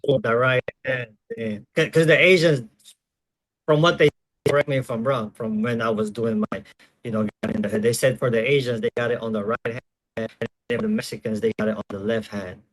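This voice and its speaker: A man in his thirties hosting a casual interview, relaxed and often repeating his words. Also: medium pitch, normal speed, rough recording. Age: 30s. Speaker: host.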